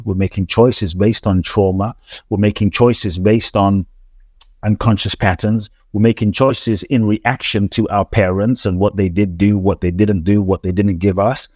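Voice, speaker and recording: A man with a lowish voice talks earnestly as an interview guest. His speech is reflective and full of restarts and repeated words. The sound is dry and close. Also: steady tone, unhurried, a little mellow, no room sound. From grew up in London. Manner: earnest, repetitive, frequent restarts and stammered repeats. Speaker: transformational teacher, podcast guest.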